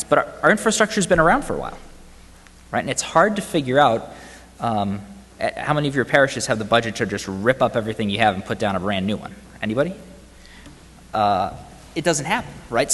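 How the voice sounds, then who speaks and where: Man, faded Boston accent, conference talk, mid pitch, chatty with audience, reverberant hall. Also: fairly bright tone. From Boston area, US. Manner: conversational, asks the audience questions, says "right?" often. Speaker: conference speaker. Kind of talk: conference presentation.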